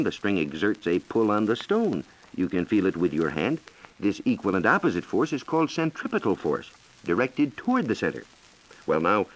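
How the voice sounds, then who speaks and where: An American man explaining science in a measured, lecturing way, as a reporter in a scripted 1940s educational radio dialogue. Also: on the low side, low-quality recording. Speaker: science reporter and guest expert.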